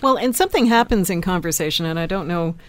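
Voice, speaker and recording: A woman with a lower voice than average, speaking emphatically and articulately as a panelist on a radio talk show. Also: animated, fast talker. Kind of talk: opinionated panel discussion.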